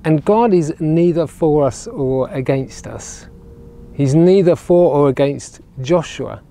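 A man's voice: on the high side, animated, slow pace, gravelly, mellow. A man, preaching earnestly to camera outdoors on a lapel mic, often repeating words as he builds a point. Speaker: regional minister. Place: outdoors by ruined castle walls on a sunny day. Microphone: clip-on lapel mic.

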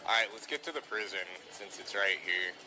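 A man talks through a roleplay game stream in a casual, informal way. He directs his squad like a bossy leader, often repeating his commands. Clear music and game sound play behind him. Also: fairly low pitch, lively intonation, brisk, rough recording. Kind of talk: casual in-character gameplay chat.

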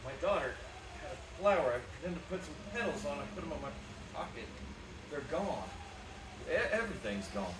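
Guy playing bewildered stammering family man, old radio play style, very animated, distant noisy hall recording. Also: on the high side, brisk. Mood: bewildered, agitated. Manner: stammering, urgent. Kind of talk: live 1940s-style radio play acting. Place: small theatre stage, indoors. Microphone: far camera in the audience. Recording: roomy, distant, noisy theatre audience recording.

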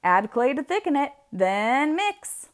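A woman reading a picture book aloud for children, expressively, with deliberate, drawn-out emphasis on key words. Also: sits high, sing-song. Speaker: read-aloud storyteller.

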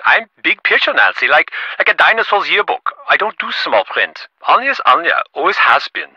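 A boastful, wealthy businessman in a video game, heard over a dull phone line. His voice is very bright and forceful, with lively swoops. He talks in glib, self-important sales patter. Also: on the high side, normal speed, breathy edge, no echo, heavily acted. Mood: glib, dismissive. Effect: phone filter. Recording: muffled, band-limited phone line.

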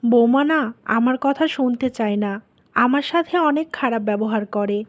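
A woman's voice: a little high, natural voice. A woman playing a scolding, complaining older mother-in-law in a scripted cartoon, insistent and aggrieved.

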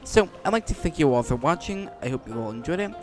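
A man's voice: on the high side, expressive, quick pace, a little mellow. Man giving rambling gameplay commentary. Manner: rambling, repeats himself. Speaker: gaming video host. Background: game music.

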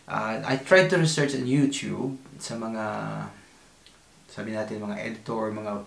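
Filipino guy in his 30s unboxing stuff at home, gravelly voice, talks slow, kinda roomy kitchen echo. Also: on the low side. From Philippines. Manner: mixes Tagalog and English, excitable, self-deprecating. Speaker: vlogger. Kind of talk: casual unboxing vlog. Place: small home kitchen, indoors.

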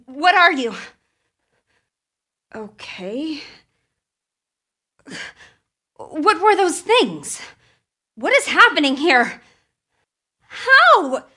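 An actress voicing a guarded, sarcastic, distressed young American woman with powers in a video game, speaking in a breathy, emotionally expressive way. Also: very high voice, full character voice. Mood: alarmed, defiant. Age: young adult.

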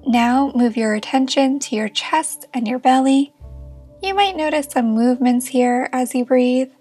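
A woman leading a guided meditation as a therapist, speaking in a gentle, reassuring and encouraging manner. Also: high-pitched, a little slow, slightly rough, faint music behind.

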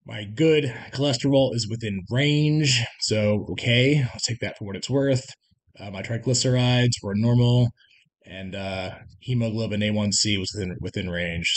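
A man in his thirties chatting frankly in a casual home vlog, with many restarts and asides. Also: lowish voice. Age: 30s. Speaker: fitness vlogger. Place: home kitchen, indoors.

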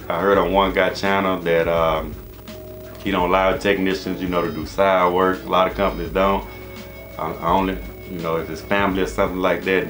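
An American man with a deep voice gives informal advice as a channel host. He rambles and repeats himself in a casual, conversational way. Music plays behind his talk. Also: a bit bright. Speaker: HVAC business coach and channel host. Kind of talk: casual advice monologue to camera. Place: small indoor office.

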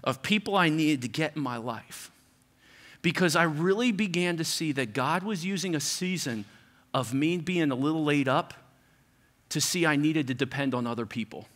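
A middle-aged man preaches with a bright, forceful tone and a slightly high voice. He talks to the congregation like a friend, stacking repeated phrases as his intensity climbs. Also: lively intonation, normal speed. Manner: preaching with rising intensity and repetition, conversational asides. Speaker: pastor. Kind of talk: sermon.

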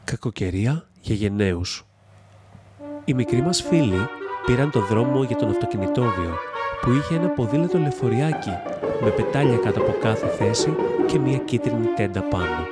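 Man narrating over loud music. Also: medium pitch, expressive. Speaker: storybook narrator. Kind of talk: scripted fairy-tale narration. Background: loud instrumental music.

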